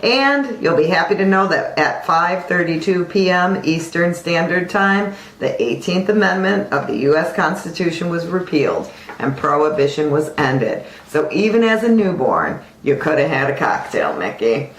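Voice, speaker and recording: A woman's voice: lowish voice, expressive, normal speed, hardly any pauses, echoey room, rough recording. A woman co-hosting an informal mock news broadcast in a chatty, teasing way. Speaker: amateur newscast co-host.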